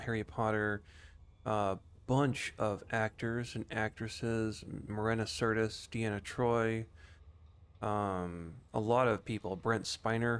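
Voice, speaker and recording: A man with a fairly low voice gives casual commentary as a game streamer. He talks in a rambling, conversational way. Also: clean tone.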